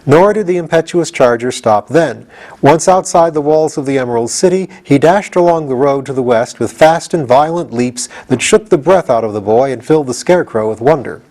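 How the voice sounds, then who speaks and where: Male audiobook reader, medium pitch, expressive scripted storytelling. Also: normal speed.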